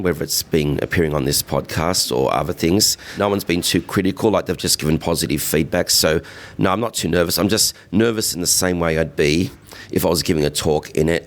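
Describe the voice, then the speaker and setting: A man speaking as an interviewed guest, casual and earnest, in a pub. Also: very deep voice.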